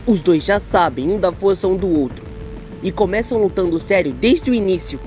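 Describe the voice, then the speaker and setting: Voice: mid-range voice, animated, moderate pace, a little mellow, close to an everyday voice. An actor voicing an earnest, nerdy teenage boy anime hero in an analytical parody debate. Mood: earnest analysis. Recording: music behind the voice.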